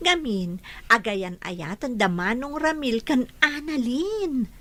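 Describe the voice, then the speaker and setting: A woman's voice: a little high, animated, slow, bright, forceful tone, exaggerated voice. A woman playing a cheerful young friend eager to share news, in a radio drama. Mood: cheerful, excited. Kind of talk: Ilocano radio drama acting.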